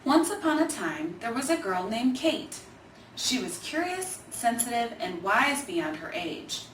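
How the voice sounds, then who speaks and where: A woman with a fairly high voice reads a children's book aloud. Her delivery is measured and expressive, stressing key phrases. She sounds a little away from the mic in an echoey room with faint noise. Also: recorded from a distance. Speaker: Alzheimer's organization staffer reading a children's book. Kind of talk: scripted storybook reading.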